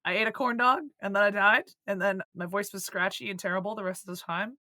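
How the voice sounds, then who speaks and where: Woman, hoarse, croaky, bright tense tone, dry close mic, crude joking podcast chat. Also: mid-range voice, fairly even intonation, fast talker, no room sound. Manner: swearing, joking, laughing often. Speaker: podcast co-host.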